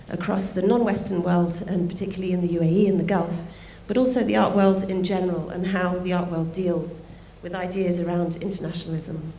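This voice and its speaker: A woman with a croaky voice giving a welcome speech at an event, with light asides as she thanks people. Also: fairly low pitch, dark tone. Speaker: art fair director opening a forum. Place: event venue. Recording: roomy hall sound, faint noise.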